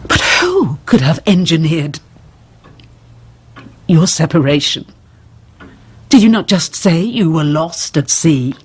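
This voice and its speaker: An actress in a radio drama playing an anguished middle-aged noblewoman and mother, formal and careful, with a husky, breathy voice. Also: low-pitched, sing-song, slow, pauses often, heavily acted. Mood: searching, uneasy.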